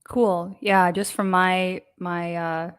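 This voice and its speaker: A woman's voice: fairly low pitch, little pitch movement, slow, clean tone, dry recording, clean studio sound. A woman hosting a casual live online show, chatty and rambling with many fillers. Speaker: host. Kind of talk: casual livestream chat.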